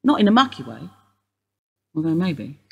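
Actress as husky, sardonic older woman. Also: sits low, big pitch swings, moderate pace, a little mellow, dull audio, heavily acted. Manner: sardonic, wry. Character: older woman, Mrs Steele, sharp-tongued and bitter. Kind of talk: radio play acting.

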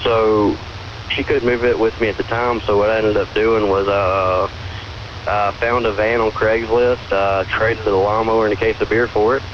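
A man's voice: on the low side, little pitch movement, normal speed. A polite, hesitant man from the southern United States calling a radio show and chatting casually, heard through a radio. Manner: hesitant, polite, addressing host as sir. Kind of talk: casual phone-in conversation. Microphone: call replayed from a portable radio speaker. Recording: dull, noisy AM radio relay of a call.

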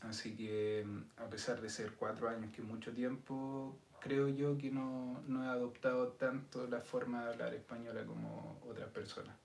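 A man in his thirties with a Chilean accent, a podcast guest explaining things in a thoughtful, measured way. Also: mid-range voice, level tone, gravelly, darkish tone. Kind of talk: casual chat. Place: indoor studio set with city backdrop. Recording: roomy sound with faint noise.

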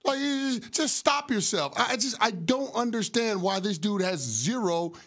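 A man arguing bluntly and emphatically as a sports analyst on a studio debate show, at a desk boom mic. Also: expressive, moderate pace, a bit bright.

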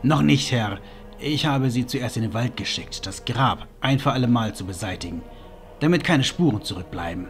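A man's voice: medium pitch, animated, normal speed, faint music behind, lightly acted. A man playing a conniving chamberlain in a fantasy audio drama, speaking in an anxious, deferential way. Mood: deferential, doubtful. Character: servile, conniving chamberlain of a villainous lord.

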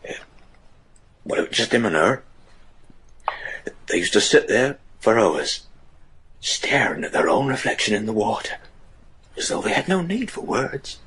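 A man playing a haunted, superstitious rural trapper in a gothic horror radio drama, with a rough, husky voice. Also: on the low side, very animated, a little slow, long pauses, fairly bright tone, heavily acted. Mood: haunted recollection.

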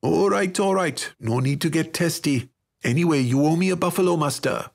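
A nasal teenage ranch lad from a storybook, put on by a male narrator. He is grumbly and rough-spoken, in a voice raised well above the man's natural pitch. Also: moderate pace. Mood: casual.

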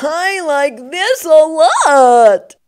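A woman voicing a cold, shivering snowman from a children's storybook, with a quavering, drawn-out, exaggerated delivery. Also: sits high, sing-song, pitched above the performer's own voice, full character voice. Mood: blissful.

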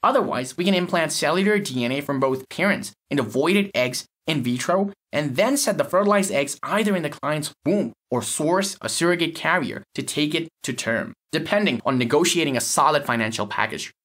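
A man's voice: fairly high pitch, animated, brisk, hardly any pauses, fairly bright tone. A man playing a cynical, blunt lab technician in an audio story, explaining in a matter-of-fact, sardonic way. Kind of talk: acted dialogue in an audio short story.

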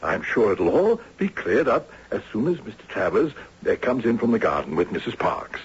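A fussy, obsequious superintendent from a 1970s radio play. The voice is very rough and swoops about as he stammers officiously, on a muffled old recording. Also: mid-range voice, very animated, normal speed, full character voice. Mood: nervous, apologetic. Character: flustered, officious, deferential care-home superintendent. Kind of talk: 1970s American radio drama acting.

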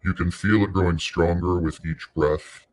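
A man leading a guided meditation in a soothing, unhurried way, pausing between short phrases. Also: low voice, fairly even intonation, a little mellow.

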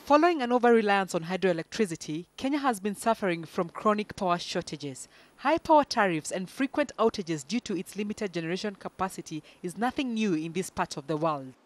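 A woman news reporter reading a scripted business news voice-over in a measured, formal way. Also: mid-range voice, expressive.

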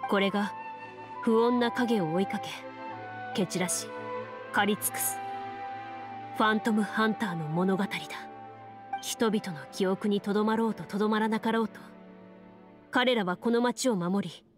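A woman's voice: mid-range voice, music in the background, natural voice. Cool, composed woman duelist played by an actress. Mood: solemn. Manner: measured, formal, understated. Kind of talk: anime game voice acting.

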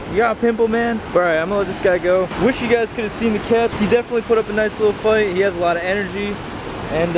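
A young man chatting casually to a head-mounted camera while fishing, talking excitedly to the viewers and to the fish he catches. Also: high-pitched. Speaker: fishing vlogger. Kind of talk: casual first-person commentary while fishing. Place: outdoors by a pond and dam. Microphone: head-mounted action camera. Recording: outdoor ambience, wind and water noise.

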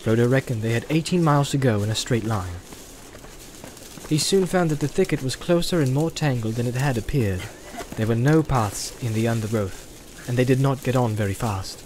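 Male fantasy-audiobook narrator, mid-pitched, even and unhurried. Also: slight background noise. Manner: even, storytelling delivery. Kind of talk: scripted narration.

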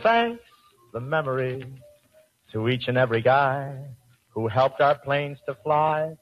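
A man's voice: mid-range voice, expressive, a little slow, soft background music. A man signing off as an entertainer on a vintage radio broadcast. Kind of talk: 1940s radio entertainer sign-off. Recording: muffled old radio broadcast recording.

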